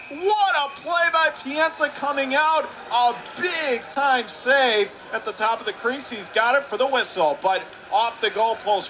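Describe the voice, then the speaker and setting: Play-by-play man, high and bright, rapid calls that jump to shouts, faint arena hiss behind. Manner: rapid, rising to excited shouts on close plays. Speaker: radio play-by-play announcer. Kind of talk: live hockey play-by-play.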